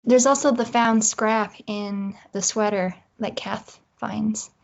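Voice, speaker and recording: A woman's voice: medium pitch, steady tone, slow pace, vocal fry. A woman talking casually as a guest in an interview about writing. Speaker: interview guest, audio drama writer. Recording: slightly dull, lo-fi audio.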